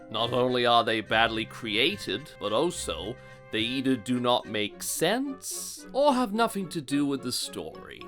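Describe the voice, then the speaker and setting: A man's voice: medium pitch, pushed and bright. A man narrating a scripted video review in a theatrical, exasperated and sardonic manner.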